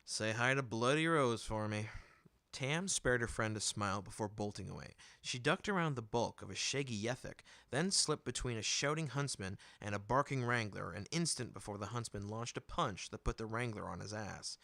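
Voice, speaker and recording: A man giving an expressive scripted narration of fantasy fiction, as an audiobook reader. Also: on the low side, normal speed, vocal fry, hardly any pauses, dry recording.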